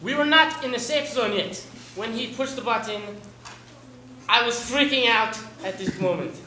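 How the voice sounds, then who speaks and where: A man's voice: very high voice, normal speed, sharp tone. Indignant young man in an echoey room. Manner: indignant, exasperated, laughing often. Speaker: improv player as a bomber pilot. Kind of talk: improvised comic storytelling. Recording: echoey room with noise, amateur video.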